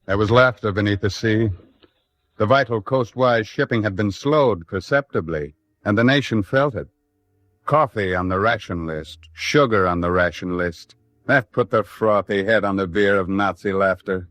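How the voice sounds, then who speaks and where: A man's voice: fairly low pitch, normal speed, fairly bright tone, dry recording. A man delivering solemn, rhetorical narration in the dramatic style of an old-time radio documentary drama.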